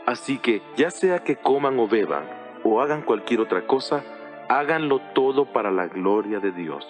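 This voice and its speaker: A man's voice: mid-range voice, animated, lightly acted. A man playing the Apostle Paul as an earnest, solemn teacher exhorting his congregation in a dramatized audio Bible, with music behind him. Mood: earnest instruction. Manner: solemn, exhortative, deliberate phrasing.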